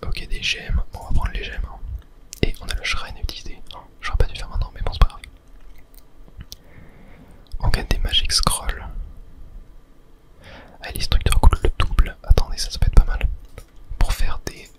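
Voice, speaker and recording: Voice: normal speed, pauses often, a bit bright. A person whispering ASMR commentary over a video game on a close mic, thinking aloud hesitantly and correcting themselves as they go. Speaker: gaming video host.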